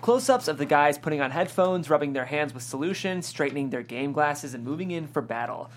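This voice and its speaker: A woman's voice: sits low, expressive, a little fast, slight background noise. A woman host reading out stage directions and chatting about a script.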